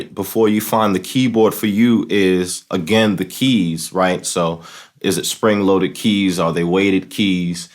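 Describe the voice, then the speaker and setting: A man in his thirties with a low-pitched voice explains gear in a relaxed, informal teaching style. He speaks haltingly, pausing to find his words and leaning on filler phrases as he goes. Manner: halting, searching for words, often saying "you know". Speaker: host of a keyboard and talk box tutorial show. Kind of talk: casual instructional talk to camera. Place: small plain indoor room, home studio setup.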